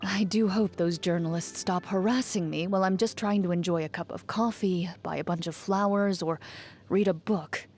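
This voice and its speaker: A woman playing a composed, principled champion duelist in a video game, speaking in a measured, formal, dryly understated manner. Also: lowish voice, steady tone, a little mellow, natural voice. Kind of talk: video game character voice lines. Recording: music behind the voice. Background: music.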